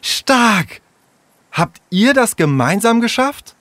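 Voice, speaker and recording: Jule, a man doing an excited little girl. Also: extremely high pitch, slow, smooth, no echo, voice pushed up, full character voice. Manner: breathless and eager.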